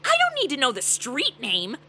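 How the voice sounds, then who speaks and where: A woman's voice: high-pitched, huge pitch swings, moderate pace, bright, forceful tone, full character voice. A woman playing a sarcastic, blunt, wisecracking young babysitter in a comedic holiday radio play. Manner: sarcastic, wry.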